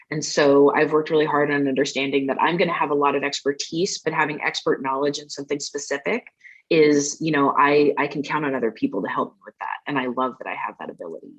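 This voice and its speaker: A woman's voice: low voice, dull audio. A woman from the United States, a guest in an interview, talking in an earnest, thoughtful way. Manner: earnest, thoughtful, frequent fillers and restarts. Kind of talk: casual interview conversation.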